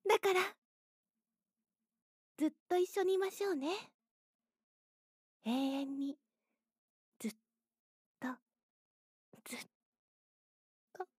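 Very high, sweetly menacing obsessive girl. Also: slow pace, long pauses, dry recording, studio quality. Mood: possessive, coaxing. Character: obsessive young woman, sweetly menacing, possessively loving. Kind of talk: audio drama acting.

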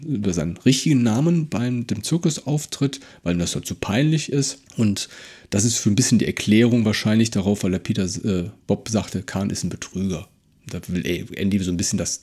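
A man's voice: medium pitch, dark tone, slightly rough. A man co-hosting a casual discussion, retelling and explaining a story in a conversational way. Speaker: podcast co-host.

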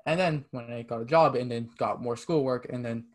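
A man's voice: medium pitch, brisk. Young canadian guy rambling on a podcast, lots of likes, chill casual chat. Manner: rambling, many "like" fillers, acts out quoted texts. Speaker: podcast guest.

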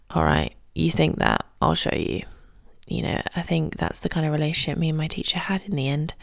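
A woman talks softly and calmly, her voice low with a gravelly fry, often dropping close to a whisper. She hosts a solo podcast from a quiet home early in the morning, and the sound is dry and close. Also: dry recording. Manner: soft, calm and quiet, often near a whisper. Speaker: podcast host and ballet teacher. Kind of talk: solo podcast advice talk.

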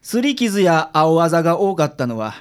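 A man with a Kansai accent acting a confident, frank private detective in a radio drama, explaining things in a measured way. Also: fairly high pitch, very animated, smooth. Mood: calm, formal. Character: confident, frank male private detective reporting calmly to a client. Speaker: amateur radio drama actor.

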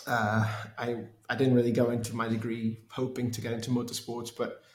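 A man's voice: mid-range voice, flat delivery, brisk, roomy sound. A man from Portugal in his thirties, a race engineer answering interview questions in a hesitant way with many restarts. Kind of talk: conversational interview.

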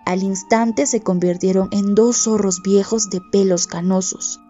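A woman narrates a folk story in a soft, dark-toned voice. The recording is slightly dull, and music plays quietly behind her scripted reading. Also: mid-range voice, steady tone, measured pace. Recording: muffled audio with music underneath.

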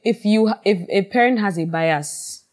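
A young woman in casual chat as a podcast co-host, agreeable and soft-spoken, with many murmured agreements. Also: medium pitch, a little slow.

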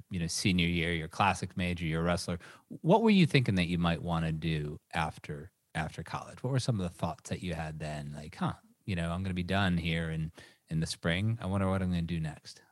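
A man hosting an interview podcast, asking questions in an animated, joking way. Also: deep voice, brisk, no echo, clean studio sound.

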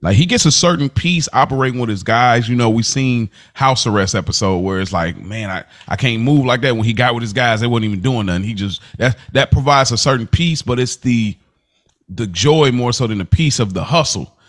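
A man's voice: medium pitch, a little fast. Guy rambling on a podcast, mid voice, keeps acting stuff out. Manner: animated, rambling, acts out scenes. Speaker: podcast co-host. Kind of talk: casual chat.

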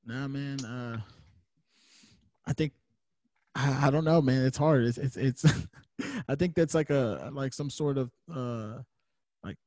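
A man's voice: medium pitch, slow, long pauses, warm tone, dry recording. A man hosting a podcast in casual slang, blunt and opinionated, swearing freely. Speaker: podcast host. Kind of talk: casual chat, slangy interview.

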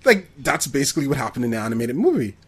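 A man chatting casually as a podcast co-host, expressive and given to acting out reactions. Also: mid-range voice, very fast, husky. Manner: expressive, acts out reactions and quotes. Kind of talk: casual film discussion.

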